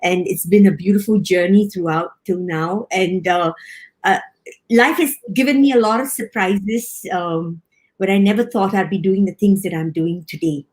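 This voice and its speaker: A woman's voice: medium pitch, normal speed, a little mellow. A woman in her fifties from Singapore, a hospital director, talking casually and chattily in an interview, with many restarts and frequent laughs.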